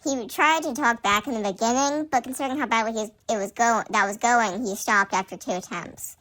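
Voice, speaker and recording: A woman's voice: a little high, a little fast, a bit bright, poor audio. A woman reading fan fiction aloud through a voice changer in a casual way, with sarcastic asides and frequent stumbles over the words.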